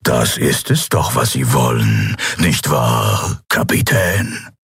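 A relentless armored machine emperor, voiced by a male narrator, who speaks deep below the narrator's own voice. He drawls each word slowly and coaxes with cold calm. His tone is husky and the sound is dry. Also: no room sound, exaggerated voice. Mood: coldly coaxing. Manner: slow, cold, coaxing.

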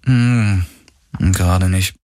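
A man's voice: sits low, extremely slow, darkish tone. A man voicing a cocky but anxious young ex-pirate in an audiobook, talking in a casual, slangy, hesitant way. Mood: relaxed, teasing. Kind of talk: audiobook character dialogue.

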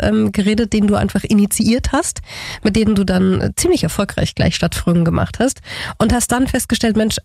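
A woman's voice: mid-range voice, a little mellow, husky, dry recording. Chatty woman hosting a dry studio interview. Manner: chatty, informal. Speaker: radio interview host. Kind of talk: casual radio interview.

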